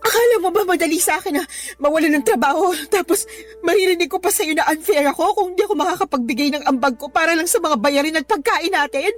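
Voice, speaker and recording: A woman's voice: extremely high pitch, fast talker, faint music behind. An actress playing a hurt, indignant young girlfriend who argues emotionally in a Tagalog radio drama. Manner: emotional, argumentative.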